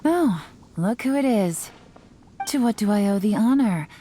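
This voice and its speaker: An actress playing a sly, self-assured young woman intelligence agent in a video game, speaking in a coy, teasing, unhurried way. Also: medium pitch, expressive, slight background noise, close to an everyday voice. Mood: coy, playful. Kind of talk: scripted video game character voice lines. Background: soft music.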